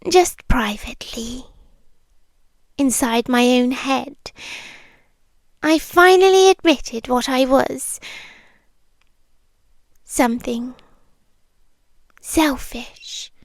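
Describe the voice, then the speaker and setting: A British woman voicing a possessive monster girlfriend in a slow ASMR roleplay, hushed and deliberate, slipping into whispers. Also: high-pitched, dry recording. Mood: cold, possessive. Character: possessive yandere monster girlfriend, confessing her obsession.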